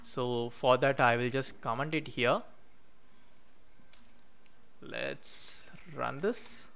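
Male tutorial host with a smooth, clear mid-pitched voice, narrating code at a desk with typing behind. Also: steady tone, a bit bright. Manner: explains step by step while typing code, many "uh" pauses. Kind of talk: screen-recorded coding walkthrough. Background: keyboard typing.

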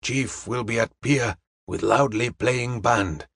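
A man's voice: medium pitch, slow pace, gravelly, fairly bright tone, husky, no room sound, full character voice. A man playing a courteous, wise Chinese detective in an audiobook, speaking formal, stilted English with dropped articles. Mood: calm, benevolent.

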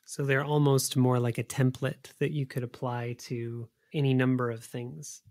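A man hosting an interview podcast, asking questions in a measured, articulate, conversational way. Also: mid-range voice, flat delivery, a little mellow, dry recording, studio quality.

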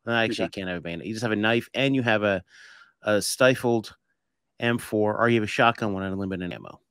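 A man's voice: on the low side, fast talker, a bit bright, clear, resonant tone, no room sound, clean studio sound. A middle-aged American man chatting casually as a podcast host, speaking into a desk microphone. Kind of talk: casual chat. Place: home room, indoors. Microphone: desk microphone up close.

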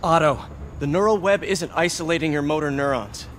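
Young male scientist for a game played by an actor: brainy, caring, slightly high voice, hesitant, faint background noise. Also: brisk, a bit bright, lightly acted. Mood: worried. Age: young adult. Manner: hesitant, earnest, naturalistic.

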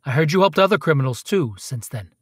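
A hard-nosed Pinkerton detective in a radio play. His male voice sits a bit high and is recorded very dry, and he presses with cool, insinuating threats. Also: lively intonation, fast talker, slightly rough, no echo. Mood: accusing. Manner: pushy, coolly threatening.

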